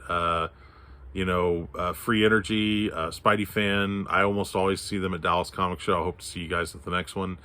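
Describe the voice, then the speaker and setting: A low-pitched man from Texas talks through his comic pickups in an easy, rambling way. His throat is scratchy from allergies, and he stops and restarts often as he goes. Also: pushed and bright. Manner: rambling, many fillers and restarts. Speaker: comic haul vlogger. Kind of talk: casual show-and-tell chat.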